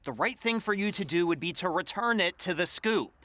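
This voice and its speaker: Deadpan female aide, sly, put-upon, bright crisp edge, deliberate, comedic audio drama. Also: on the low side, no room sound. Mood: dryly disapproving. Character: dry, put-upon female mayoral aide, secretly scheming.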